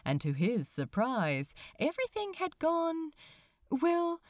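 A woman reading a story aloud as narrator, in an expressive scripted storytelling style. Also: high-pitched, slow pace, dry recording, clean studio sound. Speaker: audiobook-style narrator of fan fiction.

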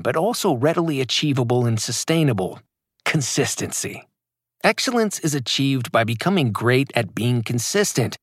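A man's voice: mid-range voice, no room sound, clean studio sound. Emphatic man reading a motivational audiobook. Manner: emphatic, motivational, punchy delivery. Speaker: author reading his audiobook. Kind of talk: scripted audiobook narration.

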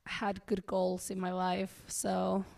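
A young woman from Argentina, a guest telling her story in a relaxed, earnest way with many ums. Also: mid-range voice, takes it slowly, creaky. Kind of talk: casual interview storytelling.

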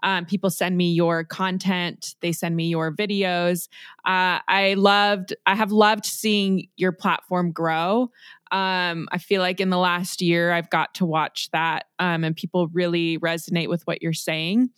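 A woman's voice: lowish voice, flat delivery, a little slow, clear, resonant tone, no room sound, clean studio sound. Chatty woman podcast host. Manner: warm, giggly and chatty. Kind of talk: podcast interview.

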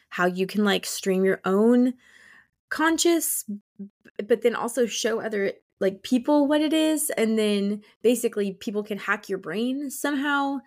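A woman in casual book discussion as a co-host, talking informally with exclamations and plenty of "like". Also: medium pitch.

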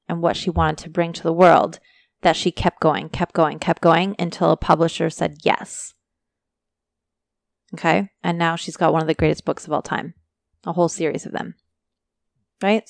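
A woman hosting a casual motivational podcast, talking chattily to her listeners while her voice is going hoarse. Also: fairly low pitch, dry recording, studio quality. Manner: chatty, motivational, addresses listeners as you guys. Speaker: podcast host. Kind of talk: casual solo podcast talk.

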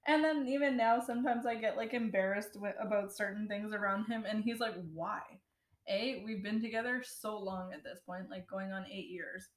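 A woman's voice: mid-range voice, fairly even intonation, normal speed. A woman co-hosting a podcast in casual chat, swearing often and laughing a lot. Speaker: podcast co-host. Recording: echoey room.

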